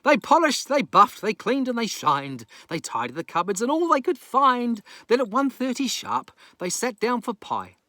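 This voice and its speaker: A man's voice: very high voice, huge pitch swings, normal speed, few pauses, sharp tone, no room sound, clean studio sound. A man from New Zealand telling a rhyming children's story in a theatrical, playful pirate persona. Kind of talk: rhyming children's story read aloud with casual asides.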